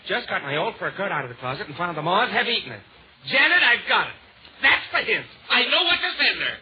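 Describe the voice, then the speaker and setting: A man's voice: high voice, very animated, brisk. A man trading hesitant, hemming banter in 1940s radio comedy style. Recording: dull old radio broadcast, faint noise.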